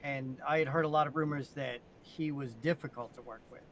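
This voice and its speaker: Male panelist, mid-range voice, informal conversational read, faint rumble underneath. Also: steady tone, brisk, poor audio. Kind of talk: casual chat.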